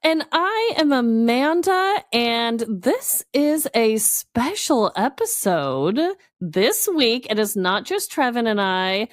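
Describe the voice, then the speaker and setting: An effusive, expressive woman chatting casually as a podcast co-host. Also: a little high, takes it slowly, no room sound, studio quality. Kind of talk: casual chat.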